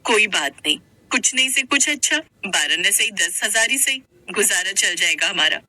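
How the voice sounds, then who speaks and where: A woman playing a practical, hopeful young housewife and mother in a Hindi moral-story cartoon, with an expressive, questioning manner. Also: medium pitch, normal speed, no echo. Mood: relieved, practical.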